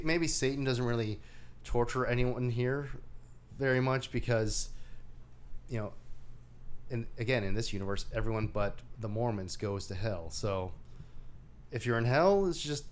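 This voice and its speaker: A man co-hosting a casual movie discussion, recapping a film in a halting, wry way. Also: medium pitch, animated. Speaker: co-host of a movie discussion show.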